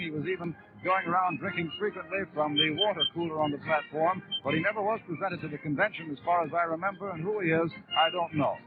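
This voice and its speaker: A man giving formal, descriptive live radio commentary from a big convention hall in 1930s style. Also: high voice. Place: large noisy convention hall. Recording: tinny old 1930s radio broadcast, band music behind. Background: band music and demonstration noise.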